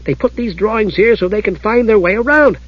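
An eager little storybook imp of a kender, pitched far up from a grown voice. The chatter is curious and singsong, with a slightly husky edge and a dull recorded sound. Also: male voice, fairly quick. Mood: excited, delighted. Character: excitable, curious kender, a small cheerful thief.

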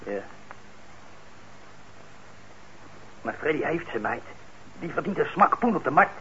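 A muffled, noisy old radio play recording carries a young working-class man. He is sardonic and down on his luck, grumbling and muttering in a natural, middling-pitched voice. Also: big pitch swings, a little fast, bright, forceful tone. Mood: wry, resigned. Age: young adult.

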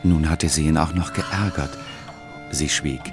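An extremely deep voice narrates a literary radio drama over music. It is gravelly and sombre, with measured storytelling. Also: male voice. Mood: sombre, reflective. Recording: music behind the voice.

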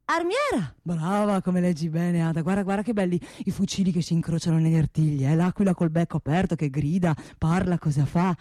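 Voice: medium pitch, expressive, mellow, dry recording, close to an everyday voice. An actor performs a boastful middle-aged father, a rich hunter in a radio comedy. The delivery is coaxing and theatrical, circling back over the same words with flares of irritation. The sound is dry and intimate. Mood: coaxing, proud. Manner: halting, repetitive, cajoling, bursts of irritation. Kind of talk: theatrical monologue-like stage comedy acting.

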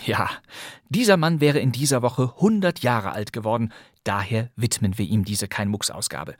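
A male podcast host narrates in a lively, chatty tone, speaking directly to his audience. His voice sits at a middle pitch. The recording is dry and close. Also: moderate pace, dry recording. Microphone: close studio microphone.

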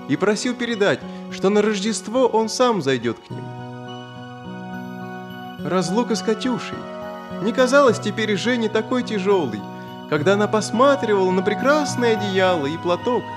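A man narrating a children's audio story in an expressive, scripted storytelling style. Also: high-pitched, moderate pace, over music.

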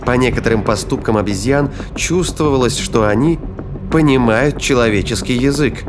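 A man's voice: medium pitch, moderate pace. A man narrating an audio drama in a dramatic, scripted storytelling style. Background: music.

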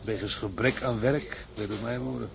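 An actor playing a gruff, cynical seaman in a Dutch audio drama, blunt, resigned and colloquial. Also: fairly low pitch, steady tone, moderate pace, a crowd in the background.

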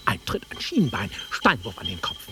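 An actor playing a volatile, nostalgic country-bred man in a German radio drama, brooding and taunting, with a rough husky voice that swings from muttering to outbursts. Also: lowish voice, wildly swooping pitch, normal speed, noisy background. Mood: aggressive.

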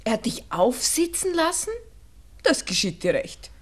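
Saucy, lively young Viennese woman. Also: fairly high pitch, a little slow, breathy edge, heavily acted. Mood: scornful, lecturing. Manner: chatty, teasing, lecturing. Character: saucy, worldly-wise young Viennese milliner, bossy friend. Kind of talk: 1960s German radio drama acting.

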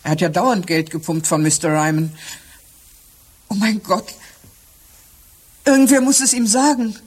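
Distraught housekeeper, slightly husky. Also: female voice, mid-range voice, steady tone, darkish tone. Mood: troubled, upset. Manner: halting, upset, repeats herself. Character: distraught, shaken housekeeper who found her employer dead. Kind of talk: German radio crime drama acting.

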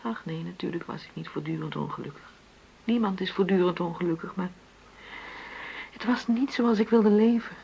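An actress in a radio drama playing an unhappy middle-aged wife who feels trapped, speaking openly and haltingly in a husky voice. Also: female voice, lowish voice, lo-fi sound, a little hiss or hum. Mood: despairing. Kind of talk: naturalistic radio drama dialogue.

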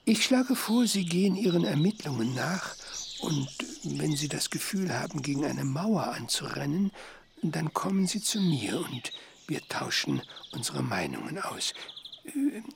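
An actor playing a frail, wistful old botanist and grieving father in a radio drama, speaking in a halting, deliberate way. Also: a little high, very animated, vocal fry, noisy background, full character voice. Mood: cautious, courteous. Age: older.

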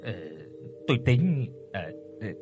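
A man's voice: mid-range voice, lively intonation, measured pace, over music, lightly acted. A man doing a smug, lecherous old villager. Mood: hesitant. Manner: hesitant, stammering.

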